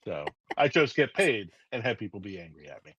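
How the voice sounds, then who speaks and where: A man's voice: fairly low pitch, big pitch swings, brisk, fairly bright tone, slightly rough, no room sound. A man being interviewed as a writer, telling stories in a thoughtful, wry way with some restarts, over a remote call. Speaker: writer guest. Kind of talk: conversational interview. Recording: dull dry lo-fi audio.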